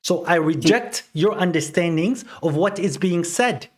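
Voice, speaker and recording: A man's voice: a little high, lively intonation, a little slow. Insistent man pressing short questions. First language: Arabic. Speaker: debater defending his view. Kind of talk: heated street debate.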